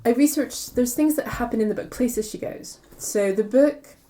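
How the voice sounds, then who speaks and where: A woman's voice: on the high side, moderate pace, dark tone. A woman author being interviewed, explaining things articulately, step by step.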